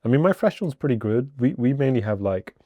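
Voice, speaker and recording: A man's voice: mid-range voice, quick pace, no room sound, lo-fi sound. A male podcast host chatting easily with his guest, filling his sentences with lots of "like". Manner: relaxed, many filler likes. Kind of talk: casual chat.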